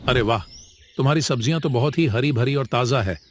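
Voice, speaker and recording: A man voicing a hearty, chatty customer buying vegetables in a village, in an animated story. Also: medium pitch, a little fast, slight background noise, close to an everyday voice. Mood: pleased. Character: friendly male vegetable customer in a village street.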